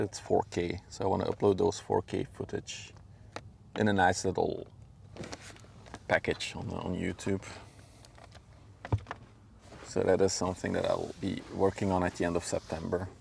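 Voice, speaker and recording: A man's voice: sits low, vocal fry, darkish tone. A man from Belgium, a native Dutch speaker with a Flemish accent, chatting casually in an easygoing, rambling way as he livestreams from a riverbank. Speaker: live streamer, drone pilot. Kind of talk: casual livestream chat and commentary. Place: outdoors on a riverbank. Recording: faint outdoor background noise.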